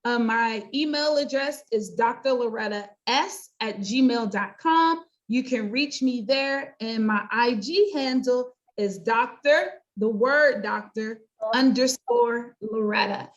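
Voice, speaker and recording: A woman's voice: fairly high pitch, slow pace, hardly any pauses, lo-fi sound. A middle-aged woman with an American accent, talking about her own work in an emphatic, chatty interview style. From United States. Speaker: guest: pastor, counselor and coach.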